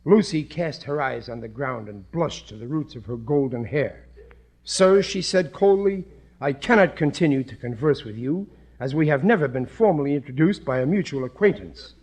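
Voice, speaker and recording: A man's voice: medium pitch, animated, normal speed, a little mellow, lightly acted. A man playing a blustery, suspicious working-class father in a 1950s radio comedy, talking in a colloquial, earnestly dim-witted way. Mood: earnest reading aloud.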